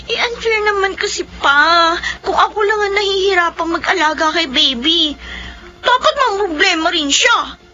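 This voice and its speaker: Emotional teenage filipina single mom, really high voice, muffled radio drama w music behind. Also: female voice, expressive, moderate pace, pushed and bright, exaggerated voice. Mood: tearful, defensive. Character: earnest teenage single mother, emotional and defensive.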